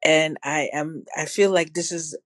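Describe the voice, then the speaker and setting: A woman guest in her field of public health, answering interview questions in a measured, thoughtful way with a slight Ghanaian-tinged accent. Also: sits low, fairly even intonation, no room sound, studio quality. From New Jersey, family from Ghana. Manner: measured and thoughtful, with occasional restarts.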